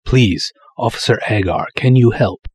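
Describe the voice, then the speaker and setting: A man's voice: fairly low pitch, animated, normal speed, a little mellow, husky, no echo. A man voicing a formal, haughty, secretive Egyptian doctor with stilted, courteous, ornate speech in a dramatic story reading. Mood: grave, pleading.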